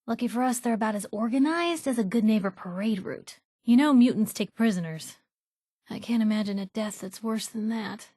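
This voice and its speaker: An actress playing a sardonic, plucky young reporter with an American accent, making casual companion remarks in a video game. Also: female voice, medium pitch, a little mellow, no room sound, studio quality, lightly acted. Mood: serious, wry. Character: wry, plucky young female reporter in a post-nuclear wasteland.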